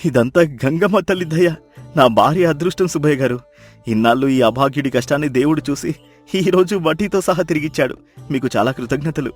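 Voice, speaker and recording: A man's voice: fairly high pitch, fairly quick, faint music behind, lightly acted. A man playing a poor, devoted fisherman husband in a moral story, speaking earnestly and with dramatic emphasis. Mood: grateful. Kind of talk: acted moral-story dialogue.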